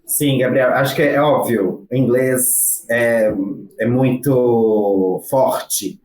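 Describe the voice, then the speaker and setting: A man's voice: medium pitch, fairly even intonation, darkish tone. Brazilian man in an echoey room. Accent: Brazilian Portuguese. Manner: measured, with frequent hesitation fillers. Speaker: guest from a study-abroad agency. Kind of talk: explanatory interview answers.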